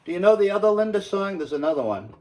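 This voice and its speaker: A man's voice: high voice, big pitch swings, fast, darkish tone. A man in his seventies from New England with an American accent, talking in a chatty, easygoing, folksy way. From New England, United States. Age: 70s. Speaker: singer and guitarist. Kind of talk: casual chat on a phone call. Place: at home, indoors.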